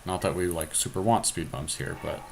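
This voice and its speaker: A man with a fairly low voice talks casually through an online card game. He reasons out loud in a resigned, matter-of-fact manner, over some faint noise on an amateur recording. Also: steady tone, brisk, gravelly. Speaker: podcast host. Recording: noisy amateur screen-capture recording.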